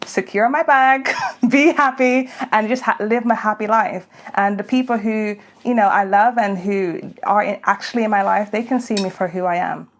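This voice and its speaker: A woman's voice: medium pitch, normal speed. A woman talking animatedly in a candid podcast conversation, laughing easily.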